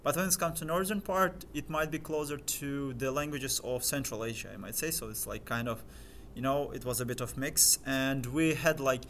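A young man whose first language is Crimean Tatar, a guest talking casually in an interview in English and pausing often to search for words. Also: mid-range voice, fairly bright tone, slight background noise. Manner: hesitant, filler-heavy, searching for words.

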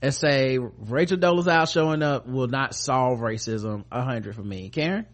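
A man's voice: medium pitch, normal speed. An American man hosting a podcast, giving casual, comedic news commentary in an irreverent, sarcastic, sweary way. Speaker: podcast host.

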